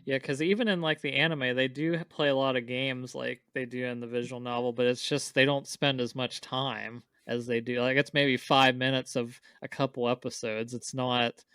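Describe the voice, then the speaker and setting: A man with a clear, resonant voice talks casually as a podcast co-host. His pitch stays fairly flat as he rambles through informal explanations, and the sound is dry and close. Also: moderate pace, hardly any pauses, dry recording. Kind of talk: casual chat, discussing visual novels.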